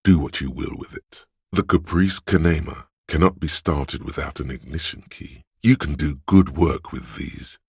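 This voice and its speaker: An actor voicing a man's brooding, tactile inner voice in a game, narrating with husky gravel in a deliberate, dramatic, literary manner. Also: extremely low pitch, no echo, studio quality, heavily acted. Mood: intimate, absorbed.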